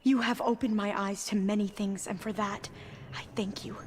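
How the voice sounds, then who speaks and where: An actress playing a devout, guarded woman in a video game, speaking in a formal, measured, reverent way. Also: medium pitch, fairly even intonation, a little mellow, natural voice. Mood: guarded, solemn. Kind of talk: dramatic video game acting.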